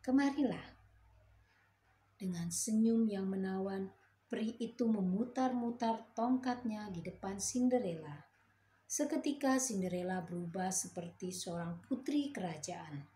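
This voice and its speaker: A woman narrates a fairy tale for children in a gentle, expressive storytelling manner. Her voice sits at a middle pitch and echoes around the room, with faint noise underneath.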